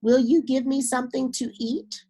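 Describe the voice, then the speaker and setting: A woman's voice: sits high, little pitch movement, normal speed, dark tone, breathy edge, pitched above the performer's own voice. A woman voicing a small, hungry boy in a storybook, polite and plaintive. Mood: pleading.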